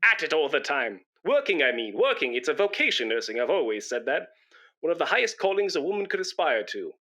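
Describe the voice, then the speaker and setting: Guy acting pompous british bloke in a radio comedy, rambly n nervous, bright punchy voice, swoops up and down. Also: fairly high pitch, sing-song, a little fast, heavily acted. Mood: chatty, flustered. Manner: rambling, self-important, stammering when flustered. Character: pompous, nervous, chattering British man come to give blood. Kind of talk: comic radio play acting before an audience.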